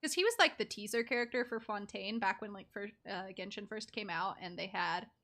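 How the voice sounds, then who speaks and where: A woman's voice: mid-range voice, a little fast, sharp tone. A young woman giving casual, rambling live game commentary with giggly asides as a streamer.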